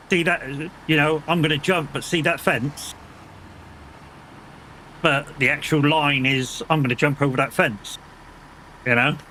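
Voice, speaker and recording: British bloke on a live game stream, talking to chat, bright punchy voice, easygoing, swears a bit, bit of engine noise behind. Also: male voice, mid-range voice, poor audio. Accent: British English. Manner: chatty, reassuring, swears casually, laughs often. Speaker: gaming streamer.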